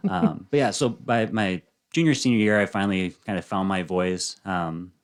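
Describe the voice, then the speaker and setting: A man in his thirties, a podcast guest chatting casually and softly, with frequent ums and uhs. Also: low voice, moderate pace, clear, resonant tone. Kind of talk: casual interview chat.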